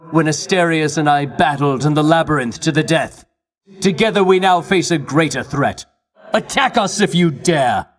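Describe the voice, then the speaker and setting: An actor playing a pompous, self-righteous Greek champion in a video game, declaiming in grandiose archaic phrases with theatrical scorn. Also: male voice, fairly high pitch. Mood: battle-ready, indignant. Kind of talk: video game character voice acting.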